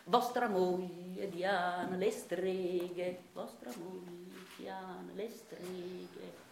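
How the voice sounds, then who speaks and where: An actress playing a dreamy, henpecked, bookish husband, confiding his story in a hushed theatrical monologue. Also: on the low side, fairly even intonation, drawn-out words, vocal fry, roomy sound, noisy background, heavily acted. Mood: haunted, hushed. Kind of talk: theatrical radio monologue narration.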